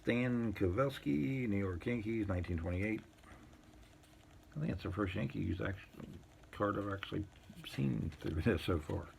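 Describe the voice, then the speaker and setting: A man casually showing a collection on an unscripted video, reading items out in short, halting phrases with muttered asides. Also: lowish voice, gravelly, slight background noise. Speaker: collector showing vintage baseball cards. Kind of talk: casual unscripted show-and-tell, reading out cards.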